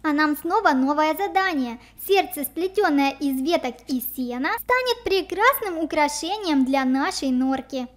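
A children's gaming channel host giving let's play commentary and shout-outs in a cutesy, presentational way. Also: sits high, lively intonation, normal speed, few pauses. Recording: music bed under the voice. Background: background music.

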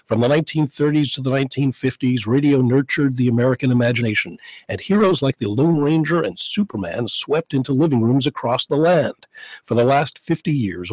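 Man, middle-aged radio host, smooth broadcast delivery, close studio mic, dry sound. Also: mid-range voice, darkish tone, no echo, low-quality recording. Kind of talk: radio interview. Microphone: studio microphone, headphones.

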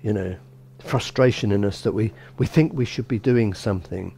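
A middle-aged man, a bishop, gives a relaxed sermon in a small church, talking conversationally with frequent restarts and "you know". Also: lowish voice, normal speed, gravelly, husky. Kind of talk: informal sermon.

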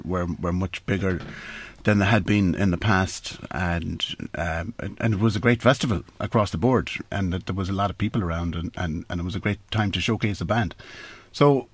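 A man's voice: fairly low pitch, breathy edge. A male radio host, interviewing a band in a small studio, talking hesitantly with many fillers and repeated words. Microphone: studio boom mic. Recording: dry close studio sound.

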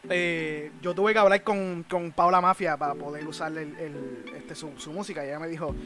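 High-voiced Puerto Rican man over loud music. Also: fairly even intonation, normal speed. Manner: slangy, mixes in English words. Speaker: podcast host. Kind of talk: casual podcast chat. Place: small room at home, indoors. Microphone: close microphone on a boom arm.

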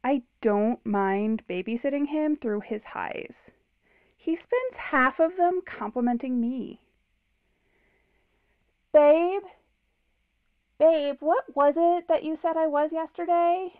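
A woman's voice: high voice, slow, long pauses, no room sound. A woman playing a breezy, sardonic young girlfriend in an audio drama, teasing, through a phone filter. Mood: doting. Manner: drawling, teasing. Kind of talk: modern audio drama acting. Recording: tinny narrow phone line.

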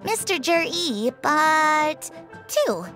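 A woman voicing a polite, businesslike antiques shopkeeper with measured sales patter in a video game. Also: high voice, sing-song, a bit bright, soft background music, full character voice.

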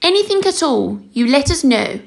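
A woman's voice: high voice, big pitch swings, moderate pace, creaky, slight background noise, heavily acted. A woman playing a poised, teasing young society lady in a 1930s radio drama. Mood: teasing, gracious.

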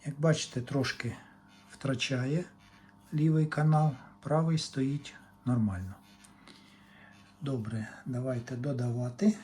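Slow-talking man over faint background noise. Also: mid-range voice, dark tone. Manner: matter-of-fact, talking in short fragments while working. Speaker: hobbyist repair technician presenting a demonstration. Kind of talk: unscripted technical demonstration, talking through measurements.

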